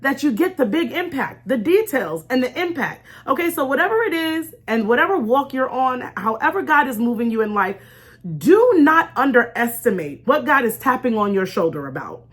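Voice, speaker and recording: A woman hosting an informal faith talk in an animated, conversational way, stressing and repeating key phrases and acting out imagined remarks. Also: high voice, moderate pace, slightly rough. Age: adult with young children. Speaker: host of a faith storytime show.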